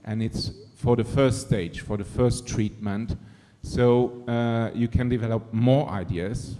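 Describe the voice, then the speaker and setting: A man's voice: mid-range voice, fairly even intonation, takes it slowly, mellow. A man from Luxembourg, a screenwriter-director speaking from the floor in a thoughtful way with many fillers. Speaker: audience member, screenwriter-director. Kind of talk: question from the floor. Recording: hall reverb.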